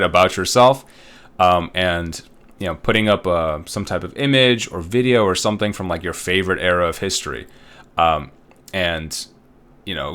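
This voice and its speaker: A man in his thirties with a low, deep voice, talking through an online course page as a college instructor. He rambles casually, leaning on fillers and tag questions as he explains. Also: a bit bright. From Uzbekistan. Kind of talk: recorded online course walkthrough.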